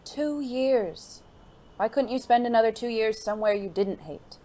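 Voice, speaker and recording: A performer playing a gentle, refined gentleman companion in an audio drama, speaking formally, earnestly and in a measured way. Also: mid-range voice, lightly acted. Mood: concerned, probing. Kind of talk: intimate audio drama dialogue. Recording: faint noisy background ambience.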